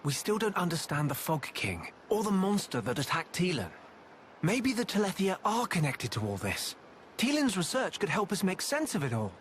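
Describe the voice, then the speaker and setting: A man's voice: high voice, huge pitch swings, moderate pace, a little hiss or hum. A man playing an earnest, thoughtful young adventurer in a video game. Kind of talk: video game cutscene acting.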